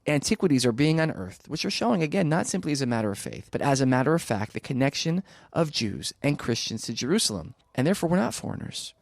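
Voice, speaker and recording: A man speaking as an interview guest, arguing persuasively and emphatically, with rhetorical questions and deliberate pauses for stress. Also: mid-range voice, no room sound. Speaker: interview guest, archaeology advocate. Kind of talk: persuasive spoken argument in a radio interview.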